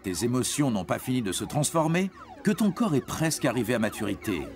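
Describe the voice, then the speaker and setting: Male narrator for an educational cartoon; playful, very animated pitch, strong music bed underneath. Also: brisk. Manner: playful, addresses the young listener directly. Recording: prominent music behind narration.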